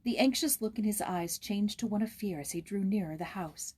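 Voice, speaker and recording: A woman's voice: mid-range voice, brisk, darkish tone, breathy edge. A woman reading a storybook aloud as host, with casual asides.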